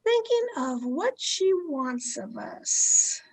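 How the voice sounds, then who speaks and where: A woman acting a domineering, fearful, haunted wife in an old-time radio thriller, with an imperious, dramatic delivery full of halting dread. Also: high voice, very animated, creaky, a little mellow, breathy edge, exaggerated voice, low-quality recording. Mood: hushed dread.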